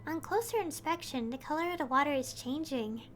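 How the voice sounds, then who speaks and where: A woman voicing a calm, thoughtful young traveler who speaks reflectively. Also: sits high, a little fast, soft background music. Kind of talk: fan voice-over of a game scene.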